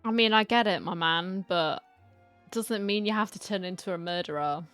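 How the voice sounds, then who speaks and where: A woman's voice: medium pitch, moderate pace, fairly bright tone, smooth, soft background music. A woman giving casual gaming commentary, reacting bluntly and sarcastically with plenty of slang. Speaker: gamer host reacting to a story quest.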